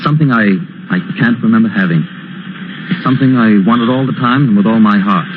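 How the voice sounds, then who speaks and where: Actor as a low-voiced, weary young prizefighter. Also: male voice, a little fast, lightly acted. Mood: earnest, wistful. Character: weary young male prizefighter, earnest, tough and plainspoken. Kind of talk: 1940s radio drama acting. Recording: dull, hissy old radio recording.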